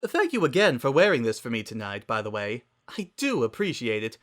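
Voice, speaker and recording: A man's voice: mid-range voice, huge pitch swings, brisk, fairly bright tone. A man voicing a refined, kindly and dramatic teenage fashionista girl, speaking in a genteel, theatrical way. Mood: gracious.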